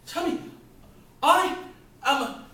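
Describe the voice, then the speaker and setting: A high, bright voice carries as a man plays a desperate, put-upon clerk and father, gasping for breath and pleading before erupting in tirades. It rings from far off across a very echoey hall with faint background ambience. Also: expressive, a little slow, heavily acted. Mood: ranting. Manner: pleading, gasping, ranting in outbursts. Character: harried overworked family man, desperate and breathless. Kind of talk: theatrical stage acting.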